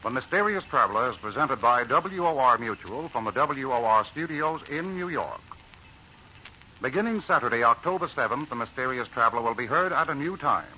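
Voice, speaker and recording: A man's voice: medium pitch, animated, brisk, sharp tone, a little hiss or hum. A man announcing a 1940s radio show in a formal, declamatory scripted style, on a muffled old recording.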